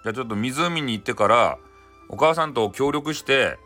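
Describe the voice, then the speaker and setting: A man's voice: mid-range voice, expressive, a little fast, pushed and bright, soft background music. A man voicing a curious, determined young boy who speaks plainly in folksy Hakata dialect. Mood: grateful, resolute.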